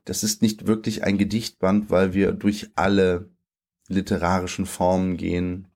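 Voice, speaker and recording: A man's voice: deep voice, little pitch movement, normal speed, darkish tone. A man in his late twenties from Germany, a poet talking things over in an interview, thoughtful and searching, with frequent fillers and restarts. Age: late 20s.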